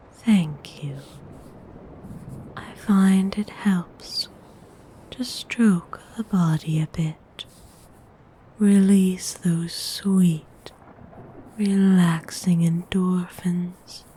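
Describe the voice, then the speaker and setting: A woman playing a gentle, seductive vampire noble in a whispered ASMR roleplay, breathy, soothing and intimate. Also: lowish voice, steady tone, a little hiss or hum. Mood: soothing, sensual. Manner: slow, soothing, intimate, unhurried.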